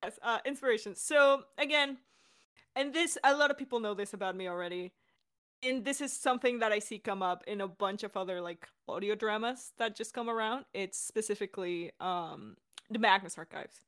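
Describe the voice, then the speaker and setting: A woman's voice: mid-range voice, moderate pace, fairly bright tone. A woman director and voice actor talking in a chatty, enthusiastic, giggly way in a casual, unscripted Q&A. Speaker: audio drama director and voice actor, hosting a Q&A.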